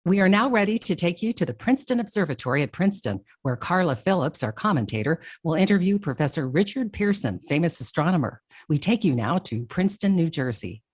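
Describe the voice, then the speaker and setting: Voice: mid-range voice, sing-song, slightly rough, no room sound. A performer playing a formal radio announcer who reads news bulletins in an old-time broadcast style. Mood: formal, urgent. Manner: formal, measured announcer phrasing.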